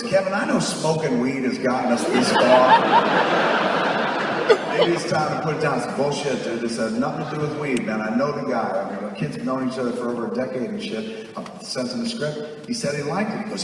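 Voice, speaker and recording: A man's voice: mid-range voice, very noisy. Stage storyteller, man, 40s, swears a lot, does the voices; live hall recording with long reverb. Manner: profane, animated, acts out quoted voices. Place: theater stage, live audience. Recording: echoey hall, far from mic.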